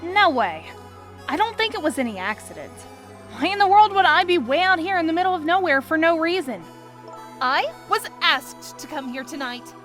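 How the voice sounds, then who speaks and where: A young woman playing an excitable, earnest young detective in a video game fan dub, with expressive, peppy delivery. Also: very high voice, normal speed, soft background music. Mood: matter-of-fact.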